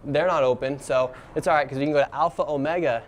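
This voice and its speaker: A young man walking city streets on camera, reading out names and figures in a deadpan way. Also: fairly high pitch, fast. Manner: deadpan, reads figures aloud. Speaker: on-camera investigator. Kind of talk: walking documentary narration.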